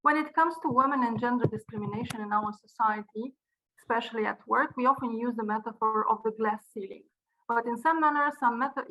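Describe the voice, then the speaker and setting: A woman from Romania with a Romanian accent, a non-native English speaker, delivering a prepared speech in an earnest, measured way with occasional restarts, over a video call. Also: fairly high pitch, fairly bright tone, low-quality recording. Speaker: university director giving a speech.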